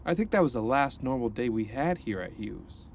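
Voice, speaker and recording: An actor playing an earnest reformed supervillain turned counselor, speaking gently and encouragingly while narrating an audio drama. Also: male voice, medium pitch, big pitch swings, normal speed, gravelly, a crowd in the background. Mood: reflective.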